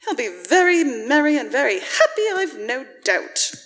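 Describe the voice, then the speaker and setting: A feisty Victorian wife and mother played by a woman in a radio drama. Her high voice swings widely, tart and emphatic with ready indignation. The hall around her leaves a long reverb. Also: very animated, normal speed, a bit bright, full character voice. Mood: grudging, sarcastic. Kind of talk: live radio-play acting of a Victorian story. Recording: live in a reverberant church hall.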